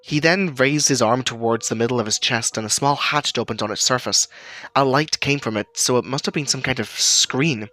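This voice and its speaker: A man's voice: medium pitch, normal speed, sharp tone, no echo, soft background music, natural voice. A man playing an earnest, uneasy storyteller who recalls an eerie childhood memory, stammering now and then. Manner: earnest, hesitant, stammering in places. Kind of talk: first-person spooky story narration.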